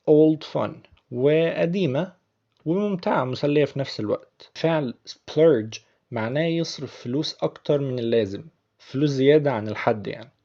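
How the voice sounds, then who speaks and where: A man's voice: mid-range voice, mellow. Male teacher with an Egyptian Arabic accent, patient and explanatory, muffled recording. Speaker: English teacher. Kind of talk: explaining English phrases in Arabic.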